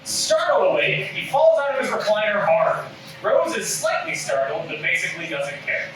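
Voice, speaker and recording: A man's voice: sits high, big pitch swings, moderate pace. Male table-read narrator, stage directions, distant mic with noticeable noise and strong room echo.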